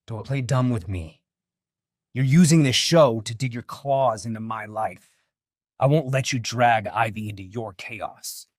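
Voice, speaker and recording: A man's voice: medium pitch, unhurried, dry recording, clean studio sound, lightly acted. A man playing an angry, arrogant rich ex-husband in an audio drama, menacing and scornful. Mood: angry, accusing.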